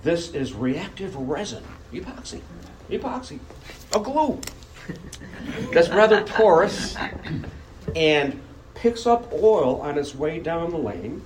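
An older American man giving an emphatic public speech with personal anecdotes and dramatic pauses. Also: fairly high pitch, sing-song, a crowd in the background. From Buffalo, United States. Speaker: club speaker. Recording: noisy live room recording.

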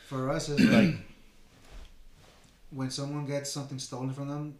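A man's voice: mid-range voice, flat delivery, a little slow, darkish tone, echoey room, slight background noise. A young man from Bogotá, co-hosting a casual chat and explaining things in a casual, slangy way. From Bogotá, Colombia. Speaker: podcast co-host.

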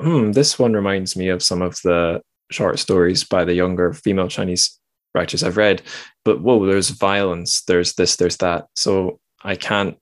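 A man's voice: low-pitched, dry recording. A man hosting a podcast, chatting casually and reflectively as he talks through his own reactions. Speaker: podcast host. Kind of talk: casual, reflective book discussion.

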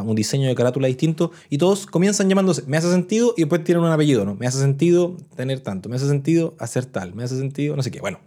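A Chilean man hosts a podcast in casual, chatty talk. His voice is mellow and dark in tone, and his pitch sits in an ordinary middle range. He often restarts phrases as he talks. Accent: Chilean Spanish. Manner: chatty, restarts phrases, reads out listener messages. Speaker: podcast host.

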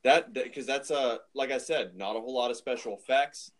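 A man's voice: medium pitch, fairly quick. A man co-hosting a podcast, talking casually in an informal discussion. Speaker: podcast co-host.

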